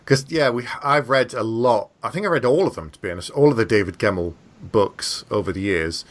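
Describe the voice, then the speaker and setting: A man's voice: on the low side, brisk. A man hosting a podcast, talking casually and conversationally with his guest about books. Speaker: podcast host, interviewer. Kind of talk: casual conversational book chat.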